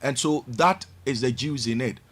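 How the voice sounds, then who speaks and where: A man's voice: moderate pace, a bit bright. A man with a Ghanaian English accent, a bank executive, explaining a loan offer on a radio show with many "uh"s and an upbeat, persuasive push. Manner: hesitant fillers, then persuasive and upbeat pitching. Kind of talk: radio interview, promotional explanation.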